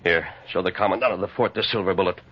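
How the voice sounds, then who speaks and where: An actor playing a steady, earnest, authoritative cowboy hero in an old-time radio western. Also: male voice, deep voice, fast talker, breathy edge. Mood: grave, commanding. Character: steady, commanding heroic masked cowboy lawman. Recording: muffled, noisy old radio recording.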